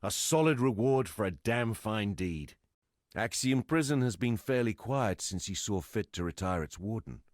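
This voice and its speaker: An actor playing a gruff, world-weary ex-smuggler in a video game, blunt and rough-spoken, with a gravelly, husky voice. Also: male voice, on the low side, no room sound, studio quality. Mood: grateful, gruff, then grim disgust. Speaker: game non-player character. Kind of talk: scripted video game dialogue.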